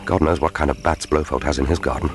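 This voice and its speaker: An actor playing a resolute, wry British secret agent in a radio drama, speaking in a dry, understated British accent. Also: male voice, very deep voice, fairly even intonation, quick pace. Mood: tense, wry. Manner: dry, understated, musing aloud. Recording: dramatic music bed under voice. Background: loud orchestral film score.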